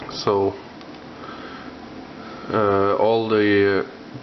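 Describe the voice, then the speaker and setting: A young Icelandic man with an Icelandic accent, rambling about building a scale model with lots of filler sounds and pauses. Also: fairly low pitch. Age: young adult. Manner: halting, many filler sounds. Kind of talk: rambling hobby vlog. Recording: dull lo-fi with faint noise.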